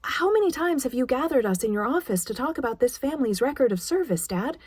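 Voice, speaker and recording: Woman acting young army nurse, audiobook character, eager, defiant, earnest, raised pitch, slightly husky. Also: expressive, fast, a little mellow, dry recording, studio quality. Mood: bewildered, hurt. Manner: earnest, insistent.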